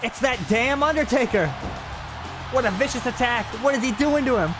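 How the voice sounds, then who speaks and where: A man's voice: extremely high pitch, moderate pace, music in the background, pitched above the performer's own voice. A man voicing a frantic, horrified wrestling announcer in a video game cutscene. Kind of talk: wrestling play-by-play shouting.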